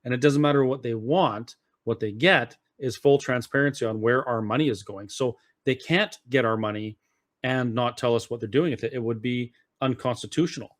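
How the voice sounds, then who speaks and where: Mid-pitched man explaining earnestly. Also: moderate pace. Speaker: interview guest, political activist. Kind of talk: casual explanatory talk.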